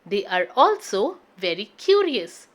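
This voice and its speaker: A woman reading a picture story aloud to children in an expressive, animated storyteller's way. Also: medium pitch, a little slow. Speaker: children's read-aloud host.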